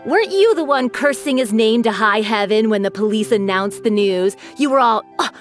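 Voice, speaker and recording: A woman's voice: a little high, expressive, normal speed, faint music behind, lightly acted. A woman voicing a teasing, gossipy townswoman. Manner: chatty, teasing.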